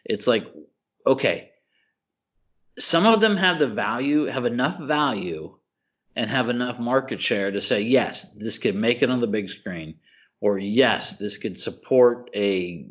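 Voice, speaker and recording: A man's voice: mid-range voice, lo-fi sound. A man co-hosting a casual podcast, giving opinions emphatically, with slang, repetition and rhetorical questions. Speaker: podcast co-host.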